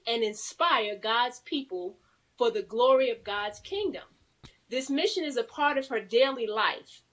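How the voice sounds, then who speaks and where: A woman with a high voice co-hosts a live talk show. She is playful and exuberant, slipping easily between reading aloud and informal chat. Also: fairly bright tone, poor audio.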